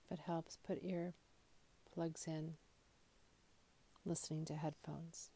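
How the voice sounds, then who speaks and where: A woman leading a guided meditation in a soothing, deliberate manner, with phrases that trail off softly. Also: sits low, little pitch movement. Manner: soothing, slow and deliberate with soft, breathy phrase endings. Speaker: yoga nidra guide.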